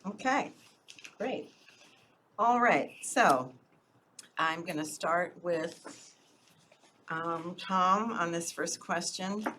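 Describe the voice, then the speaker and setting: A woman's voice: lowish voice, extremely slow, long pauses, pushed and bright, a little hiss or hum. A woman moderating a local candidates debate, introducing the speakers in a friendly, informal way with some fillers.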